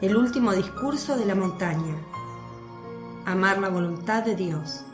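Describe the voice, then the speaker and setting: A woman's voice: mid-range voice, unhurried, music in the background. A woman reading scripted narration for a devotional radio play in Spanish-language storytelling style. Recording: echoey, faint noise with birdsong. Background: birdsong and outdoor ambience.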